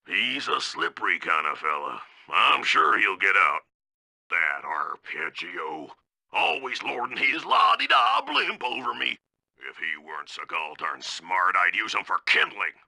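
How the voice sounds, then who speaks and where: Gravelly frontier bison villain. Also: male voice, sits low, bright, forceful tone, exaggerated voice. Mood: grumbling, boastful. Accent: American rural frontier drawl. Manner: folksy, menacing, blustering. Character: burly boastful old-time frontier lumber baron bison villain. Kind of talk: cartoonish video game villain acting.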